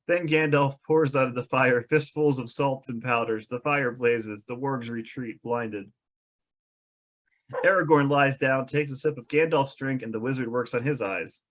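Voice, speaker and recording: A man's voice: medium pitch, fairly bright tone. A man reading scripted stage directions as narrator at an amateur comedic table read.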